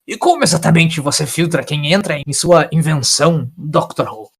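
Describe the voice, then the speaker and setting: A man's voice: on the high side, lively intonation, normal speed, breathy edge. A man playing a furious, accusing old time traveler. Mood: accusing, indignant. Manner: forceful, scolding, sarcastic rhetorical questions.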